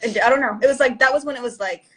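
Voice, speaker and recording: A woman's voice: high voice, rapid-fire, a bit bright, breathy edge. A young adult woman talking as a guest in a casual interview, rambling with lots of "like" and "you know". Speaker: guest musician.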